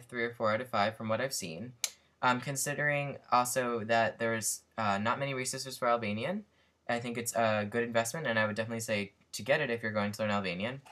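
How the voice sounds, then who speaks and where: A man's voice: mid-range voice, pushed and bright. A young man casually reviewing a language book for his vlog, rambling with lots of fillers and restarts, recorded on a built-in computer mic at his desk. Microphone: built-in computer webcam mic.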